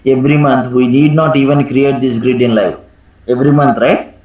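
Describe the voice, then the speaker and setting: A man teaching a live software tutorial step by step, instructing and often checking in with a questioning "right?". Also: mid-range voice, fairly even intonation, normal speed. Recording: echoey room sound.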